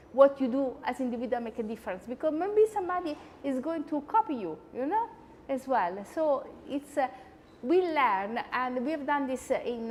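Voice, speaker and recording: A woman with an Italian accent, hosting a talk with chatty, animated asides. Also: high-pitched, big-room sound, a little hiss or hum. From Italy. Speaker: host. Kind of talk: lecture-style talk.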